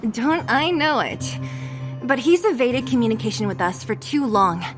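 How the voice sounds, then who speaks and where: A determined young female fighter from a video game, blunt and protective. Her high voice is direct and emphatic, with music clearly behind it. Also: expressive, a little slow. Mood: urgent, resolute.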